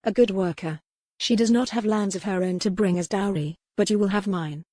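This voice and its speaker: A woman's voice: medium pitch, a little fast, a little mellow, no room sound, clean studio sound, lightly acted. A woman voicing a proud, defiant captive noblewoman in a historical romance audiobook, speaking in a formal, pointed way. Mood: challenging.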